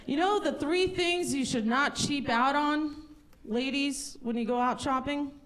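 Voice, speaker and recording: Female comic from Russia, high voice, wry conversational storyteller working a live stage. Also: a little slow, fairly bright tone, low-quality recording. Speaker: stand-up comedian. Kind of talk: stand-up comedy before a live audience. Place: live comedy showcase at a lodge venue.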